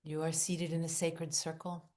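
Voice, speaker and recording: A woman leading a guided visualization, speaking in deliberate short phrases with long pauses, in a hushed, soothing, incantatory way. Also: sits low, level tone. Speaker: guided meditation leader. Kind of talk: guided visualization, meditative narration.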